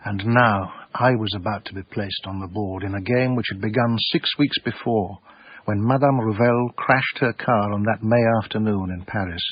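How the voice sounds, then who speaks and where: A man playing a cultured, sardonic art dealer with an English accent and a husky voice, narrating a radio drama in a measured, literary way. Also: fairly low pitch, fairly even intonation, no echo. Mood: wry, sardonic. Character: wry, cultured, obsessive small-time art dealer narrator. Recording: dry, slightly muffled radio broadcast.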